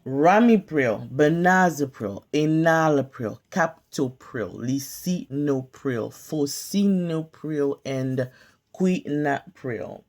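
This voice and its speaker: A woman tutoring pharmacy students, calmly drilling drug names in a slow question-and-pause quiz, recorded on a phone at home. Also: deep voice. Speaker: pharmacy tech study tutor. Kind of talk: slow flashcard-style quiz review. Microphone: phone camera filming whiteboard.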